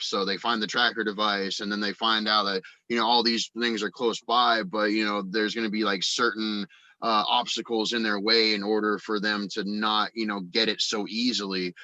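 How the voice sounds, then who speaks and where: A man chatting casually as a podcast guest, rambling excitedly as he tosses around creative ideas. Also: lowish voice, normal speed, no room sound, low-quality recording. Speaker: podcast guest, audio drama writer and actor. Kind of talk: casual chat, brainstorming story ideas.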